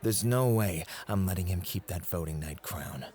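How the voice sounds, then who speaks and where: A man's voice: low-pitched, normal speed, darkish tone, natural voice. A man playing a devoted, competitive and possessive club host in an intimate, teasing ASMR roleplay. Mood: jealous, pouty.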